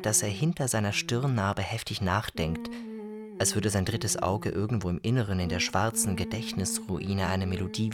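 A man narrating a literary story for a radio feature in a measured storytelling manner. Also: fairly low pitch. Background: music.

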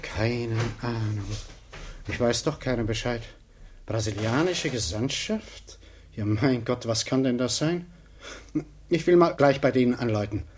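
An actor playing a pompous, irritable and easily flustered small-office boss in the manner of 1950s German radio comedy, nagging and impatient. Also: male voice, medium pitch, unhurried, pauses often, natural voice. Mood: baffled, muttering. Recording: 1950s radio recording, noisy, clicks.

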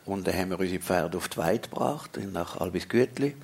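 Swiss German man, very low gravelly husky voice, plain halting talk; live stage guest. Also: lively intonation, a little mellow. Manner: plain, matter-of-fact, a bit halting. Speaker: circus director. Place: theatre stage before an audience.

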